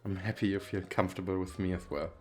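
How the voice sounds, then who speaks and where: A man's voice: low voice, steady tone, fast, soft background music, natural voice. A man acting a shy, nervous, wealthy businessman on a speed date in an ASMR roleplay, talking in a hesitant, rambling, self-deprecating way. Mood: nervous, candid. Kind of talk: ASMR roleplay, intimate flirty chat.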